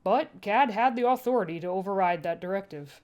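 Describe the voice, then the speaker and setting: A woman's voice: mid-range voice, fairly quick, lo-fi sound. A woman narrating a first-person story as a podfic reader, with a dry, wry delivery.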